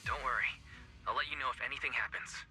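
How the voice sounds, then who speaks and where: A man playing a calm, cautious young scout in a video game, through a radio filter. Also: medium pitch, quick pace, soft background music. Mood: reassuring. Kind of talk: English video game voice acting.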